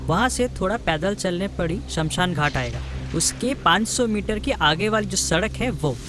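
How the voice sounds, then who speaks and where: A man's voice: high-pitched, lively intonation, normal speed, over music. A man playing a helpful, practical young friend in a cartoon story, advising in a friendly, matter-of-fact way. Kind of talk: Hindi cartoon story acting.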